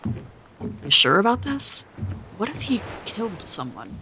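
A blunt, suspicious deckhand, played by an actor in a comedic audio drama. The voice is bright and sharp with a lively, swinging delivery. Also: medium pitch, slow pace, a little hiss or hum, exaggerated voice. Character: suspicious, blunt ship's crew member.

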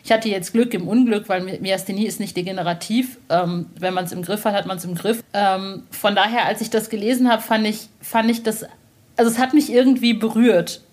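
A woman's voice: medium pitch, steady tone, fairly quick, darkish tone. A woman hosting a podcast interview, talking in a casual, personal way.

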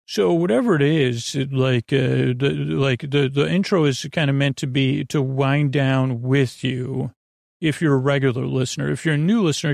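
Gravelly, meandering male sleep-podcast host. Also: mid-range voice, no echo, clean studio sound. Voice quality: gravelly, creaky. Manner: meandering, hesitant, trailing off and doubling back; gently silly, reassuring. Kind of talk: rambling bedtime sleep-podcast monologue.

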